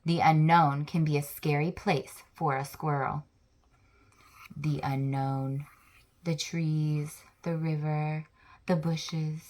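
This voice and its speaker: Slow, lowish-voiced woman reading aloud. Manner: slow, expressive storytelling with list-like delivery. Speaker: children's book read-aloud host. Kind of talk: reading a picture book aloud to children.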